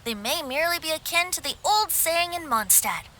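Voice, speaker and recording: Game bard: youthful boy, high lively voice, playful, self-satisfied, theatrical flourishes, music underneath. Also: moderate pace, a little hiss or hum, heavily acted. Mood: musing. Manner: lilting, flowery, self-satisfied. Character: boyish young male bard, breezy, playful, theatrical, vain. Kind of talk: video game voice acting. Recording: music behind the voice.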